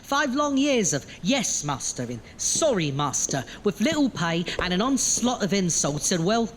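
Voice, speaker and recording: A man's voice: high-pitched, sing-song, unhurried, in a large hall, slight background noise. A man playing a put-upon lab assistant in a comedic gothic horror audio drama, cheeky, resentful and sarcastic, with colloquial speech. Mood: indignant.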